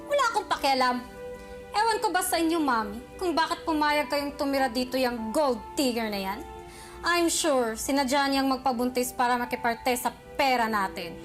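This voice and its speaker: A woman's voice: high voice, moderate pace, lightly acted. A woman with a Filipino accent playing a spoiled, spiteful rich older sister in an amateur radio drama. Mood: disdainful. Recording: music playing behind the voice.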